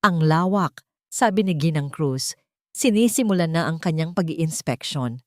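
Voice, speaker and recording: A woman's voice: deep voice, lively intonation, moderate pace, warm tone, no echo, clean studio sound. A woman with a Filipino accent reads a dramatic scripted love story as its narrator.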